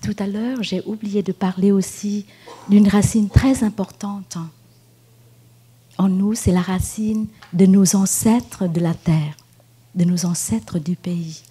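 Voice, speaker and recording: A Buddhist nun from Vietnam speaking French with a Vietnamese accent, giving a gentle, unhurried dharma talk at a retreat, with pauses and fillers. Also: female voice, mid-range voice, fairly even intonation, breathy edge. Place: meditation hall at a retreat.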